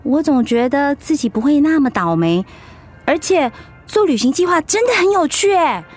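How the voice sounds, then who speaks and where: An actress with a Taiwanese accent playing an upbeat, teasing wife in recovery from illness in a radio drama, playful and reassuring. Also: female voice, high-pitched, big pitch swings, moderate pace, a little mellow. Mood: candid. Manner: playful, reassuring, chiding her husband. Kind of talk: Mandarin radio drama acting.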